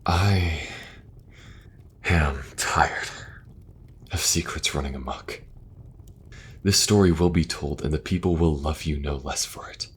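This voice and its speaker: A man acting as a benevolent king and brother in a fantasy audio roleplay, speaking in an earnest, measured way. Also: a bass voice, slightly rough, full character voice. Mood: earnest, warm.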